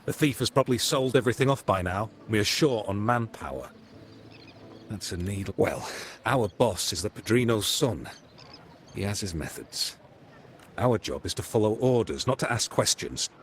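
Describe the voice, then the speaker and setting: An actor playing a busy, resigned crime-family agent in a video game, casual and matter-of-fact. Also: male voice, lowish voice, big pitch swings, moderate pace, a little hiss or hum. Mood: resigned, confiding. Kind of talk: scripted video game dialogue. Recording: loud music behind.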